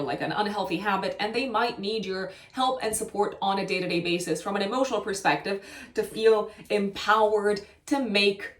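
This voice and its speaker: A woman with a Romanian accent giving a horoscope reading, thoughtful and hedging, with many fillers and restarts. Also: mid-range voice. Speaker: astrologer. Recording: echoey room, mic at a distance.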